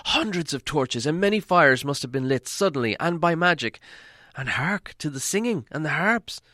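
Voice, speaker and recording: Guy doing a wide-eyed storybook dwarf on watch, urgent, pitched up, bright voice. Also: animated, normal speed. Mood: excited, awed. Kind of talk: storybook character voice.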